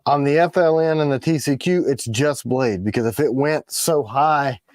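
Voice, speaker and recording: A man with a raspy voice, tired in the morning, co-hosting a casual product livestream and explaining the details of the items he shows. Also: mid-range voice, normal speed, no room sound. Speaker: co-host of a knife company livestream. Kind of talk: casual live chat about products.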